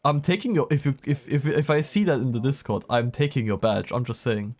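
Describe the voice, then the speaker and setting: Joking male game streamer, medium pitch. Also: brisk, a little mellow. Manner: joking, sarcastic asides. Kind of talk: casual live gameplay commentary.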